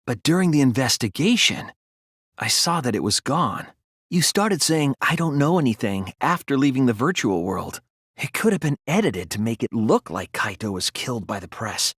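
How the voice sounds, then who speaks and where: A man voicing an earnest, analytical teenage boy detective in a video game, reasoning deliberately through scripted dialogue. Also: mid-range voice, big pitch swings, fairly bright tone, husky, no echo, studio quality. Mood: serious, explaining.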